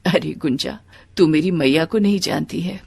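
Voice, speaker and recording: A woman playing a devout, long-suffering village mother, gentle but firm and plaintive, in a devotional storybook tale. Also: low-pitched, moderate pace, creaky, husky, pitched below the performer's own voice, heavily acted. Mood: weary, tender. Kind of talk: devotional moral storybook dialogue.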